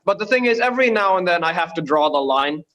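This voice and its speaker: A man's voice: sits high, animated, fast, a bit bright. A man from Bangladesh doing conversational stand-up, hesitant and understated. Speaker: stand-up comedian.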